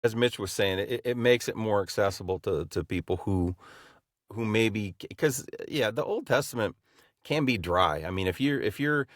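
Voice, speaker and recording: A man co-hosting a podcast who asks questions in a halting, friendly way. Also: on the low side, no echo, studio quality. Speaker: podcast co-host. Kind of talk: casual chat.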